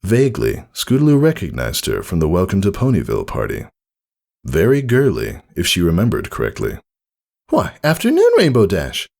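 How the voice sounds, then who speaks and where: Deep, creaky-voiced man narrating, very animated. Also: brisk. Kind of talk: scripted storybook narration, dramatic audiobook reading.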